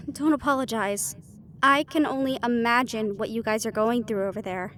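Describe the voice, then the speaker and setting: A high, emphatic female voice from a woman acting it out plays a brisk magical ally in a fantasy audio drama. She is candid, caring and exasperated, with faint ambience behind her. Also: a bit bright, a little hiss or hum, natural voice. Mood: concerned.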